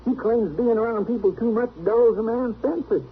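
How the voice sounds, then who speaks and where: A man's voice: very high voice, moderate pace. A man playing an anxious, folksy marshal's sidekick with a Southern American drawl in an old radio western. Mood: exasperated. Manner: folksy drawl, fretful, exasperated. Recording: noisy, tinny old radio recording.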